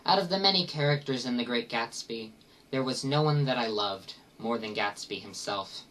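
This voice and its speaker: A man acts out a lovelorn gentleman storyteller, confiding and sentimental. The voice is mid-pitched and dramatic, heard a little way from the microphone. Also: lively intonation, moderate pace, recorded from a distance, close to an everyday voice, rough recording. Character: lovelorn, wistful male narrator confessing a secret romance. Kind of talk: dramatic monologue from a literary parody.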